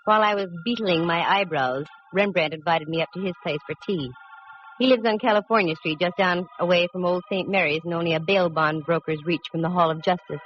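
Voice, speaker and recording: An actress playing a sharp-witted, wisecracking female private detective in a vintage radio drama, wry and no-nonsense. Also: fairly low pitch, a little fast, faint music behind, lightly acted. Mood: wry narrating. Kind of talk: 1940s-50s radio detective drama acting and narration. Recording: muffled, noisy vintage radio recording.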